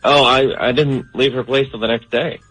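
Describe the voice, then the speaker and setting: A man's voice: mid-range voice, steady tone, normal speed, fairly bright tone, no room sound, faint music behind. A man answering a phone call in a polite, hesitant manner. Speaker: prank call recipient.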